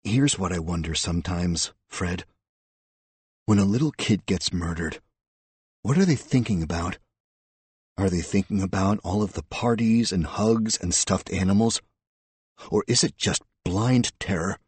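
Man voicing a hard, sardonic female detective, husky. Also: sits low, a little slow, darkish tone, no echo. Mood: cold, relentless. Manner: sardonic, confrontational. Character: hard, scornful female police detective, coldly relentless.

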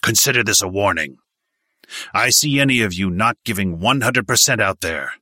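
A man voicing a gruff, scornful male army drill sergeant who barks orders. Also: low voice, animated, normal speed, pushed and bright, no echo, studio quality, heavily acted. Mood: threatening. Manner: barking commands.

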